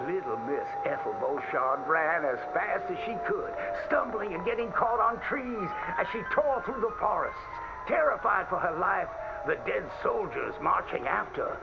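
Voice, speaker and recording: A man's voice: high-pitched, creaky, lo-fi sound, exaggerated voice. A man acting as a folksy storyteller, telling a spooky cautionary tale with dramatic, old-fashioned diction. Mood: dramatic, foreboding. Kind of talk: theatrical radio-style storytelling. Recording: band-limited old radio sound, loud music behind.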